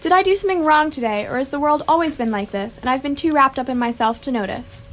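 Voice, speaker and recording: Woman playing a high-pitched bewildered everyman. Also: quick pace, fairly bright tone, slight background noise. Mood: bewildered, resigned. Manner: exasperated, dryly sarcastic. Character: bewildered, indignant ordinary Englishman. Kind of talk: amateur radio theatre acting.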